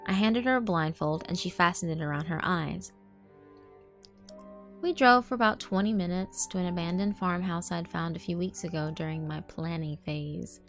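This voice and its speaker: A woman with a somewhat low voice reads a first-person horror story. Her delivery is measured and dark, with occasional hushed asides. Soft background music plays beneath her. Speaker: narrator. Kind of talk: scripted horror story narration. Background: faint background music.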